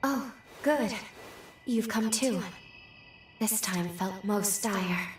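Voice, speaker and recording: A woman's voice: mid-range voice, very slow, a little hiss or hum. Echoey, solemn mystic woman. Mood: grave, foreboding. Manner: grave, earnest, formal. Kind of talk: dramatic video game acting. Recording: echoey, distant, music underneath.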